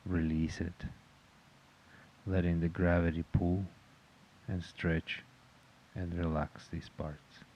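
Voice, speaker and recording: A man with a very deep voice guides a meditation. He gives calm, measured instructions at a very slow pace, in a notably even tone that barely rises or falls. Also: highs cut off.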